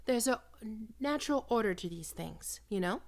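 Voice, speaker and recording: A woman voicing a possessive, predatory vampire doctor in an ASMR roleplay, breathy and often whispering, coaxing her prey with condescending, sensual words. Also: on the high side, lively intonation, moderate pace. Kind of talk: ASMR roleplay, intimate and slow.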